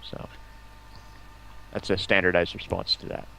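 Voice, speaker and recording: A man's voice: deep voice, level tone, unhurried, sharp tone. A man chatting casually as a stream guest, with a cheeky, bantering manner. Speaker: stream guest, hobby video maker. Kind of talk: casual chat. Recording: clearly noisy home voice-chat line.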